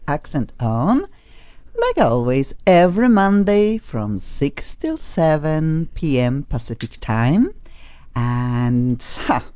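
A woman's voice: very deep voice, warm tone, lo-fi sound. A woman with a Polish accent hosting a casual studio talk show, enthusiastic and repeating words for emphasis. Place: studio on a top floor.

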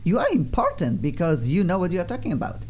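A woman with a Polish accent hosting a casual studio interview, chatty and effusive, flattering her guests. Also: on the low side, fast talker, slight background noise. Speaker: radio show host. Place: radio studio.

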